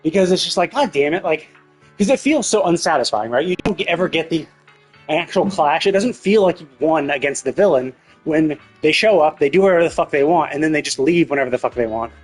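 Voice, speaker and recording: A man streams games with a fairly high, husky voice. He talks casually but emphatically, opinionated and quick to swear. Faint music plays behind him. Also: animated. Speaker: game streamer. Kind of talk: casual gaming commentary rant.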